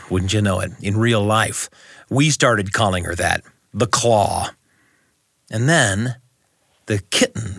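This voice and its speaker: A middle-aged man telling a personal story as a scripted monologue, reflective and deliberate, speaking in short phrases with pauses. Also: fairly low pitch, no room sound, clean studio sound.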